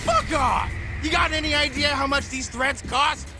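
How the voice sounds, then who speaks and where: An actor playing a brash, foul-mouthed thug in a video game cutscene, aggressive and indignant. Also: male voice, very high voice, normal speed, shouting, over music. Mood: furious, defiant.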